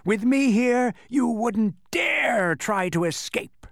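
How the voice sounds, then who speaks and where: High-pitched insincere little demon. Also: male voice, lively intonation, gravelly, dry recording, studio quality, heavily acted. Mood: gleeful, boastful. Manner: slow, drawn-out, emphatic proclaiming. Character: small furry insincere demon, gleefully bluffing as a monster. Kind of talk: storybook character voice.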